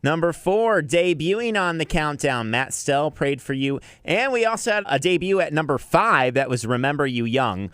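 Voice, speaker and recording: A man's voice: a little high, expressive, moderate pace, few pauses, a bit bright, clear, resonant tone, no room sound, clean studio sound. An American man presenting an afternoon music radio show as its DJ, linking songs and reading sponsor messages. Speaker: radio DJ, afternoon drive host. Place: radio studio. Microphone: close broadcast microphone.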